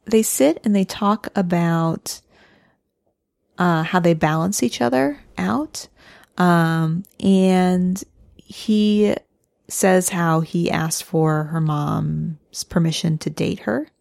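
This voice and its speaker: A woman's voice: fairly low pitch, slow, creaky, darkish tone, no room sound, clean studio sound. A woman co-hosting a podcast, chatting as she walks through a show's plot step by step. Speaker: podcast co-host. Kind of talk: casual recap chat.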